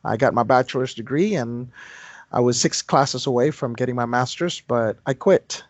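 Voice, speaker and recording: A man in his thirties from Indonesia answers interview questions in a thoughtful, self-deprecating way, pausing to restart his thoughts. His mid-range voice comes through dry and dull, like lo-fi remote audio. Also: mid-range voice, no echo. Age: 30s. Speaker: guest entrepreneur. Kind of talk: interview conversation.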